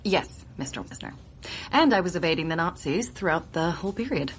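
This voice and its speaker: An actress playing a steely veteran secret agent, crisp, dry and unflinching, in a radio drama. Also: female voice, on the low side, animated, fairly quick, a little hiss or hum, lightly acted. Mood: composed. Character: steely, exacting veteran woman secret agent, drily defiant.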